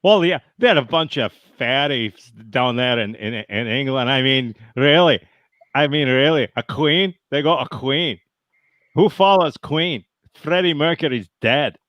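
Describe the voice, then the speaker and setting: A man playing a violent, boastful Glasgow man in an improv comedy scene, with a Scottish accent and gruff, deadpan threats. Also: mid-range voice, measured pace, no echo, lightly acted.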